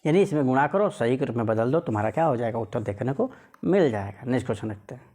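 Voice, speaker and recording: A man's voice: mid-range voice, expressive, fast, darkish tone. A man teaching an informal exam lesson, repeating phrases and putting rhetorical questions to students. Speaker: maths exam coach.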